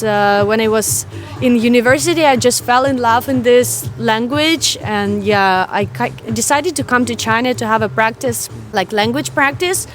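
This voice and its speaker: Woman, fairly high voice, soft music. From Russia. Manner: hesitant, non-native phrasing. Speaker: interviewee. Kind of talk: casual street interview.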